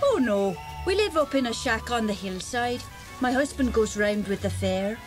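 A woman's voice: on the high side, animated, moderate pace, over music, natural voice, poor audio. An actress playing a kindly working-class fairground woman who sells gingerbread, talking in a homely, colloquial way in a children's audio drama. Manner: homely, clipped colloquial speech.

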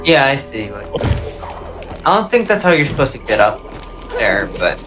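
A man's voice: medium pitch, sing-song, moderate pace, music in the background. A man chatting casually as he follows along with a friend's video game. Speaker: co-player advising in a game stream. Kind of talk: casual group gaming chat.

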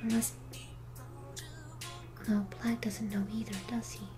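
A woman playing an anxious, conscientious teenage superheroine in an audio drama, hesitant and breathy, often dropping toward a whisper. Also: a little high, level tone, slow. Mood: worried. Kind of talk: fan audio drama acting. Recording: music under the voice.

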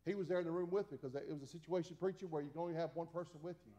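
A man's voice: a little high, steady tone, rapid-fire, warm tone, lo-fi sound. A man in his forties preaching at a Bible study from the pulpit of a small church, folksy, with many restarts and repeated amens. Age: 40s. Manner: folksy, repetitive, stammering restarts, frequent "amen". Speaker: pastor.

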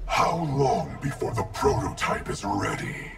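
An actor playing a cold, imperious ninja clan grandmaster in a video game, speaking in a terse, commanding and contemptuous way. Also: male voice, medium pitch, expressive, measured pace, creaky, heavy background noise. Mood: stern. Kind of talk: video game character dialogue. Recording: loud music behind the voice. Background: music.

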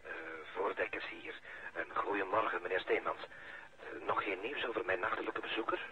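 A man's voice: lowish voice, fairly even intonation, moderate pace, close to an everyday voice. An actor playing a polite, hesitant male parish priest in a radio drama. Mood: courteous, inquiring. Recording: dull old radio-play recording.

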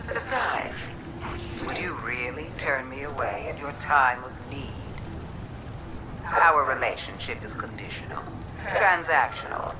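A woman voicing a sly, taunting alien witch queen in a video game, with grand, drawn-out, mocking delivery. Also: low-pitched, background noise, full character voice. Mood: smug, musing, then conspiratorial. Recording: loud music behind the voice.

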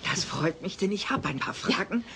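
A woman's voice: lowish voice, lively intonation, moderate pace, gravelly. A woman playing a nervous, gossipy neighbour in a sitcom audio drama, fussy and prying. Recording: noisy cassette audio drama.

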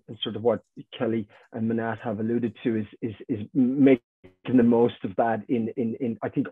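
A middle-aged man, a university academic, speaking haltingly with many repeated words on a webinar panel. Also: mid-range voice, slightly rough, dry recording. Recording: tinny dry video call audio.

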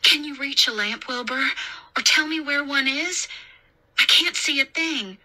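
A woman's voice: high-pitched, a little slow, bright, forceful tone, pitched above the performer's own voice, exaggerated voice, low-quality recording. A woman voicing a young female babysitter in an audiobook story, flustered but soothing and reassuring. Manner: reassuring, urgent.

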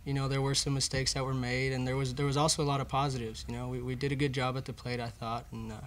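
Young man, medium-pitched athlete talk. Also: flat delivery, quick pace, fairly bright tone, slight background noise. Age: young adult. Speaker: college baseball player. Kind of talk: post-game press conference answers. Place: indoor press conference room. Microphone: table microphone.

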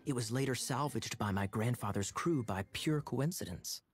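An actor playing a sly, teasing knight captain. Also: male voice, mid-range voice, moderate pace, soft background music, lightly acted. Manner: smooth, theatrical, playfully mocking. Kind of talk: game cutscene dialogue.

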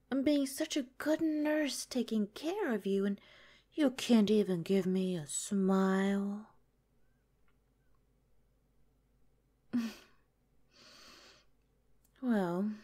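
Yandere nurse girlfriend, female performer, ASMR roleplay: mellow, very drawn-out pace, breathy whispers, coy, possessive, sweetly threatening. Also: medium pitch. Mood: coaxing, threatening. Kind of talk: ASMR roleplay, soft intimate drawn-out delivery.

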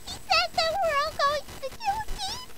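A woman playing a whiny, bratty little kindergarten girl with a high, childlike voice in a comedy sketch. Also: animated, normal speed, noisy background. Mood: frightened.

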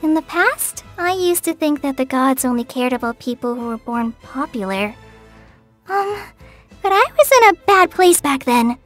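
An earnest young girl ranger from a fantasy video game, with a very high, youthful voice. She speaks softly and hesitantly, sincere and a little shy. Light music plays behind her. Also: expressive, normal speed. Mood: grateful, reflective. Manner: hesitant, soft-spoken, sincere. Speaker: game character voice. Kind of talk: scripted video game character lines. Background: background music.

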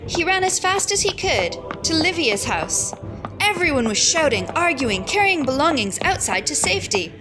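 A woman reading a children's picture book aloud in an expressive, dramatic storytelling style. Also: sits high, normal speed. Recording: loud music and crowd sounds underneath. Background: music and crowd noise.

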